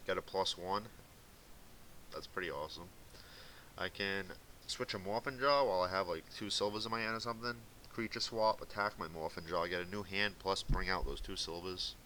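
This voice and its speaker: A man's voice: low voice, sharp tone, poor audio. A young man from Massachusetts with a light Boston accent, rambling casually through commentary on a card game deck with lots of fillers. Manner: rambling, filler-heavy, laid-back. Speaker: gaming video commentator. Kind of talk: casual commentary over gameplay. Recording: faint hissy home recording.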